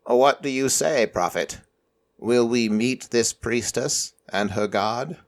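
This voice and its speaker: Man voicing a slow, solemn ancient raven god. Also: medium pitch. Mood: quietly intrigued. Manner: solemn, measured, formal archaic phrasing. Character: ancient formless deity in raven form, solemn, protective. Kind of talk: audio fiction character acting.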